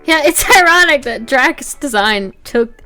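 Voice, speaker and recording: A woman's voice: sits high, expressive, measured pace, pushed and bright, faint music behind. A woman hosting a casual chat in an easygoing, giggly manner. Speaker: host, artist. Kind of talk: casual chat, video commentary.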